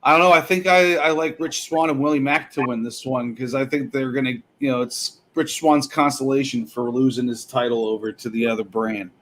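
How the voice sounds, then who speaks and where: A man with a middling pitch chats on a sports panel. He keeps it casual and joking, like banter among co-hosts. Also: steady tone, a little fast, few pauses, fairly bright tone, a little hiss or hum. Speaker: podcast panelist.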